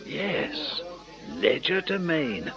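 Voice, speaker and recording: A man's voice: fairly high pitch, very animated, full character voice. An actor playing a canny former music-hall memory man, coaxing and slyly patient, in a radio crime drama. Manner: coaxing, teasing, deliberate. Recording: muffled radio drama recording.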